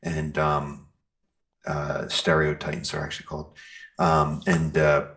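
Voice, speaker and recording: Very deep, gravelly-voiced man, halting talker. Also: monotone, fairly bright tone. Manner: hesitant, halting delivery. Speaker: interview guest, metal band singer and guitarist. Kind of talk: casual interview chat.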